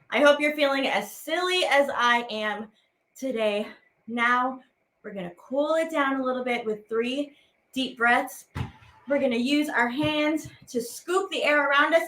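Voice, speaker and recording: Female kids' teaching artist, high voice, playful and encouraging, casual and simple delivery straight to the audience. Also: a bit bright, rough recording. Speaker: teaching artist hosting a children's program. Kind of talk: children's activity lesson, casual and direct to viewers.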